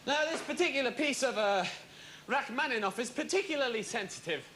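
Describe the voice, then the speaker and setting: A comedian playing a flustered, bumbling concert pianist who fumbles and mutters on stage. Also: male voice, extremely high pitch, lively intonation, fairly quick, a little hiss or hum, full character voice. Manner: fumbling, hesitant, breathless mutters. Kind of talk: comedy sketch performance. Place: TV studio stage before audience. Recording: live studio with loud audience laughter.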